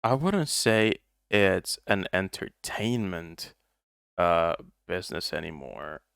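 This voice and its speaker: A man's voice: deep voice, expressive, takes it slowly, vocal fry, a bit bright, smooth, dry recording, studio quality. A young man co-hosting a casual podcast, speaking English as a second language, thoughtful and rambling with many restarts. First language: not English. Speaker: podcast co-host. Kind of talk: casual chat.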